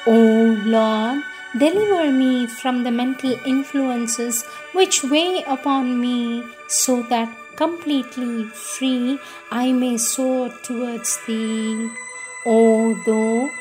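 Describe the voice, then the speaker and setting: A woman reading devotional prayers and meditations in a solemn, measured, reverent manner. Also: sits high, low-quality recording. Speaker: reader. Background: music.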